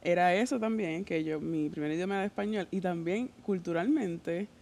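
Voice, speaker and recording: A woman's voice: lowish voice, moderate pace, darkish tone. A woman from Rico, co-hosting a casual podcast conversation and chiming in often. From Puerto Rico. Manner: frequent listening sounds, chatty.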